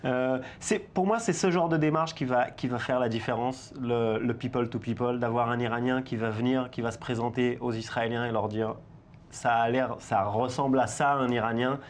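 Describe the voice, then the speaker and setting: A male guest answers questions in a television studio in a casual, emphatic way. His pitch is average. A faint low rumble sits behind him. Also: steady tone, normal speed. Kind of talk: studio news interview.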